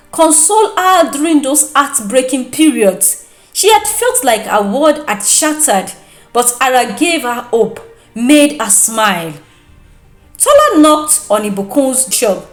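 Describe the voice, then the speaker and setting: A Nigerian-accented woman narrates a folktale with dramatic expression. Her voice sits fairly high and swings widely in pitch, moving at an unhurried pace over background music. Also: reverberant. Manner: expressive, deliberate storytelling. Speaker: storyteller, narrator.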